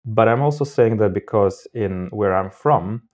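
A man speaking casually as an interview guest, often searching for his words in halting phrases. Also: lowish voice, darkish tone. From Moldova. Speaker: podcast guest.

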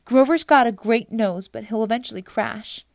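A woman's voice: on the high side, moderate pace, gravelly, darkish tone, dry recording, pitched above the performer's own voice. A determined, brainy young girl, acted by a woman in an audiobook. She is bossy and urgent, with precise wording. The sound is dry and close. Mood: brisk, precise.

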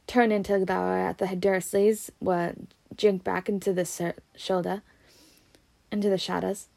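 A woman voicing a gruff, blustery but kindly giant man with a Scottish accent, reading from a storybook. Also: little pitch movement, unhurried, darkish tone, no room sound. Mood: indignant. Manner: booming, blustery.

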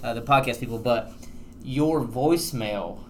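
A man hosts a casual church podcast at an ordinary middle pitch. He speaks in a relaxed, loose way and nods along with short replies. A faint hiss runs under the voice. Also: moderate pace. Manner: easygoing, brief affirmations.

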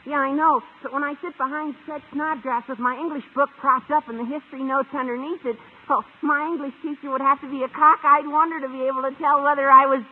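An actor playing a squeaky, eager and cheeky teenage high-school boy in a 1950s radio comedy, with mock-formal flourishes. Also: steady tone, quick pace, few pauses. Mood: chatty, cocky. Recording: tinny old radio recording, faint noise.

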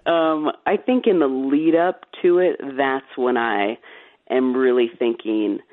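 Woman broadcaster, naturally low voice, frank and easygoing with quick laughs, heard over a thin phone-quality line, dry. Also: no echo. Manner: laughs easily, frank, self-assured. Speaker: play-by-play sportscaster, guest. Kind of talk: candid interview answers.

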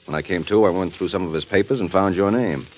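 A man acting a suave, wry, hard-boiled private detective in an old-time radio drama, cool and quick with a wisecrack. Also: low-pitched, natural voice. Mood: cool, probing. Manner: wisecracking, coolly unruffled. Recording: noisy vintage radio recording.

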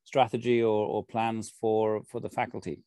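A man's voice: on the low side, little pitch movement, normal speed, dark tone, smooth, no echo, clean studio sound. Chatty Welsh man asking questions. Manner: chatty, meandering questions with fillers. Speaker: interviewer. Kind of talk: conversational interview.

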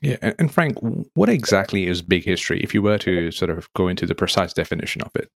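A young man from Nepal, hosting an interview in a relaxed, chatty way with lots of "um" and "like". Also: sits low, fast, gravelly, dry recording, studio quality. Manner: relaxed, chatty, many fillers like "um" and "like". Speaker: podcast host. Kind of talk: casual interview conversation.

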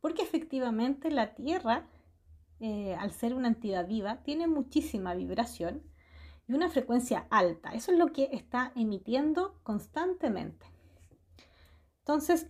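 A woman's voice: on the high side, a little mellow. Upbeat Chilean woman hosting a radio show. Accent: Chilean Spanish. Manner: upbeat, frequent laughs, tag questions. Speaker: radio host. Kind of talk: warm spiritual radio monologue. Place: small house in a hillside forest.

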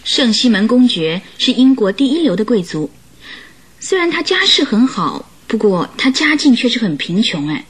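An actress playing a chatty, gossipy, playful young newlywed wife in a radio drama, with an exclamatory way of talking. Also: female voice, on the high side, very animated, normal speed, slightly rough. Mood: gossipy, knowing.

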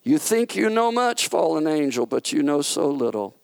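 A man voicing a majestic, loving yet stern male God who speaks in slow, solemn proclamations. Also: fairly high pitch, very animated, creaky, a little mellow, dry recording, voice pushed up, low-quality recording. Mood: commanding.

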